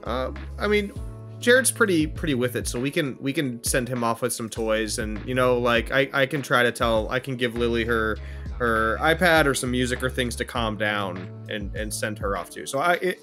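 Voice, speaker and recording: A man playing a soft-hearted parent in an improvised role-play, speaking in a halting, placating way. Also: medium pitch, lively intonation. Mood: hesitant, agreeable. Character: soft-hearted parent soothing a young child. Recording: music bed under the voice.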